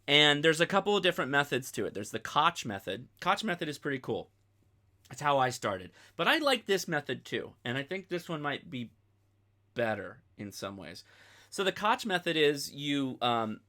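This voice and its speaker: Casual male host, thirties, crisp bright tone, informal off-the-cuff tutorial delivery that rambles. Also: mid-range voice. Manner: rambling, informal, thinking aloud. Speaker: host of a ham radio channel.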